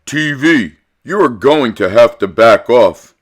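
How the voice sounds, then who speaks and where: A man's voice: mid-range voice, lively intonation, takes it slowly. Man acting an indignant, swooping storybook parent. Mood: stern. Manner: indignant, emphatic. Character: outraged, exasperated parents of a young boy in a storybook.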